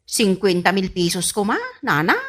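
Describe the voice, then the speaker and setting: A woman's voice: mid-range voice, moderate pace, a bit bright, close to an everyday voice. A woman playing a stubborn, boastful, spendthrift married daughter with an Ilocano Filipino accent in a comedy radio drama, brash and defiant. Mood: wheedling.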